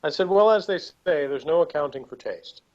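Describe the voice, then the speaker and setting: A man's voice: a little high, expressive, a crowd in the background. Rambling casual man on dull lo-fi audio. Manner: rambling, halting, frequent filler words. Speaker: review show panelist. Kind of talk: casual opinionated review chat.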